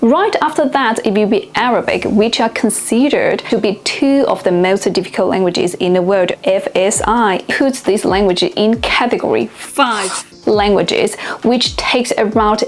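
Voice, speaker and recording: A young woman, a native Chinese speaker, narrating a scripted language lesson and slowing down carefully on example words. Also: medium pitch. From China. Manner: clear, deliberate, slows down to pronounce example words. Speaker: language teacher and video host.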